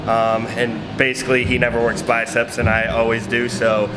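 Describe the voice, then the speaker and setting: A man's voice: mid-range voice, completely flat delivery, normal speed, background noise. A young man hosting a fitness vlog, giving casual, filler-heavy, upbeat gym instruction. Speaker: fitness vlogger. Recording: roomy gym with music behind.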